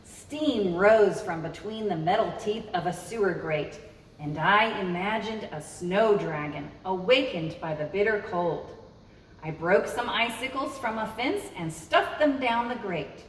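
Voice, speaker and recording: A woman's voice: medium pitch, lively intonation, unhurried. A woman reading a children's story aloud as a storytime host, expressively and speaking directly to young listeners. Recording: roomy, echoey, distant mic with noise.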